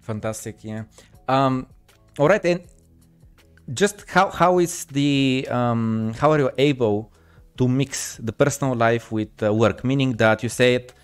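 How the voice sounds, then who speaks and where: Male podcast host, smooth resonant tone, halting delivery full of fillers. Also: medium pitch, soft background music. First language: Bulgarian. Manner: hesitant, many fillers and restarts. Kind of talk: casual live-stream interview.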